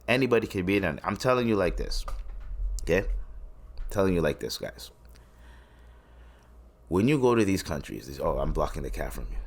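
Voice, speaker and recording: A man from Tanzania hosting a solo podcast, rambling and swearing between his points. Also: sits low. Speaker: podcast host. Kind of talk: casual solo podcast commentary.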